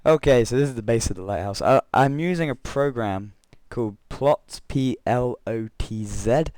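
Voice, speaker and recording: A young man with a croaky voice from being unwell, rambling through gameplay commentary and a story, correcting himself often with chuckling asides. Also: mid-range voice, normal speed, darkish tone, dry recording, studio quality. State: croaky voice from illness. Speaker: Minecraft let's player.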